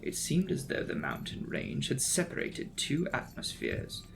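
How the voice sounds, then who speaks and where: A man's voice: mid-range voice, huge pitch swings, a little slow, creaky, noisy background. A man narrating a classic novel as a dramatic, scripted audiobook reading.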